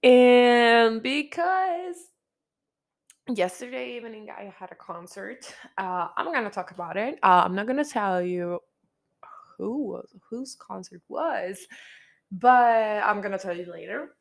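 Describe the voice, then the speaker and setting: A young woman with a slightly raspy, hoarse voice hosting her podcast in casual chat, repeating herself and restarting her sentences. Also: medium pitch, animated, a little slow. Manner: chatty, repeats herself, frequent restarts and fillers. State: hoarse after a concert. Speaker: podcast host. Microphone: studio microphone.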